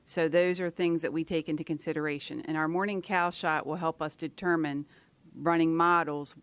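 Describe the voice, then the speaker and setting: A woman's voice: sits low, fairly even intonation, moderate pace, clear, resonant tone, no room sound. A woman answering interview questions as an environmental scientist, in a matter-of-fact way with short, clipped phrases.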